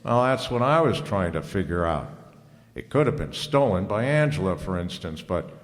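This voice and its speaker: A low male voice rings through a big hall. It is a man playing a cool private detective from an old radio mystery, slangy and hard-boiled, tossing out lines with sardonic confidence. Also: very animated, normal speed. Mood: accusing, sardonic. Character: cool wisecracking private detective, narrating his own case. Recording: reverberant hall.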